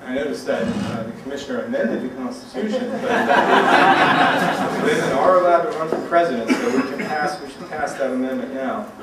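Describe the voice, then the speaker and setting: A man's voice: medium pitch, lively intonation, moderate pace, a loud crowd. A man acting as master of ceremonies, introducing speakers at a public event with light off-the-cuff remarks. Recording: very noisy, echoey public event, distant mic.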